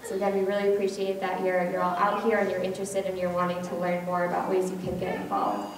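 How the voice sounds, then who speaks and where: A woman's voice: on the low side, monotone, fast. Woman, earnest presenter, frequent ums, far from the mic, echoey room. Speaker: foster care program presenter. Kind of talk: talk to a live audience.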